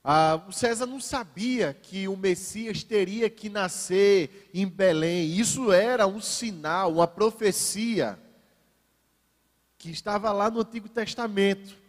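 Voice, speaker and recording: A man's voice: high voice, measured pace, long reverb tail. A man from Brazil preaching a sermon to a congregation. He shifts his pace for emphasis and slips in ironic asides.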